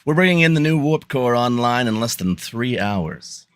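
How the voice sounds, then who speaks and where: A man's voice: mid-range voice, animated, moderate pace, a bit bright, clean tone, dry recording, clean studio sound, lightly acted. A man playing an upbeat starship chief engineer in an amateur live radio play. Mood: brisk, upbeat.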